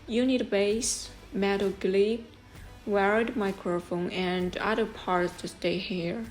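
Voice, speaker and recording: A woman's voice: mid-range voice, fairly even intonation, slow, over music. A woman presenting a product review as scripted narration, explaining things step by step in an instructional way.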